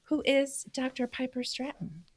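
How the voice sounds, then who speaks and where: A woman's voice: high-pitched, expressive, measured pace, no echo. A woman co-hosting a podcast, interviewing a guest and laughing often. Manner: laughs often, warm and effusive. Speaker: podcast co-host.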